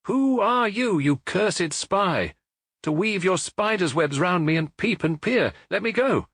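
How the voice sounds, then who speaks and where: A man's voice: sits high, animated, measured pace, a bit bright, husky, no room sound, voice pushed up, full character voice. A man voicing a grandiose, furious American charlatan prophet in an audiobook detective story, ranting shrilly. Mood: frantic, enraged. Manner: ranting, indignant, bombastic.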